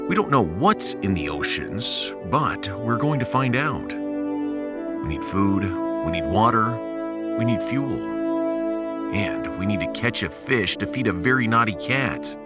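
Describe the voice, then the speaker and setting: A man's voice: fairly low pitch, big pitch swings, takes it slowly, over loud music. A man playing a bold, sarcastic starship captain in a science fiction audio drama, with a dramatic and wry delivery. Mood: solemn, dramatic.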